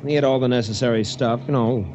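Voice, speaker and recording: An actor playing a nervous younger man in a 1940s radio drama, quick and rising. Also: mid-range voice, fairly even intonation, natural voice. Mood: curious. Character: anxious younger man, friend and driver. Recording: hissy lo-fi old radio transcription.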